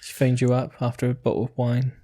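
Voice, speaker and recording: Podcast host guy, middle pitch, dry teasing humor, easygoing chat. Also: monotone, quick pace, warm tone. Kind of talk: casual chat.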